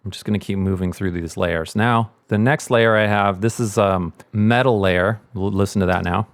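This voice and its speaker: A man's voice: lowish voice, steady tone, moderate pace, no room sound. A man giving a casual sound-mixing tutorial, thinking aloud informally as he demonstrates.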